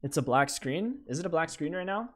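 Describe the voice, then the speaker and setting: A young Canadian man talks to his live chat while he plays, his pitch a touch high and swinging widely. He restarts his sentences often and reacts with excitement, speaking into a desk mic at home. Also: fairly quick. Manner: animated, stammering, talks to chat. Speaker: streamer. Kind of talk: live gameplay stream chat.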